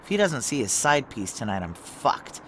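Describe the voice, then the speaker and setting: Lead narrator, security chief, male actor: noir audio drama, medium pitch, tense, determined, clipped, light ambient noise. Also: big pitch swings, moderate pace, natural voice. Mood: tense, hard-boiled.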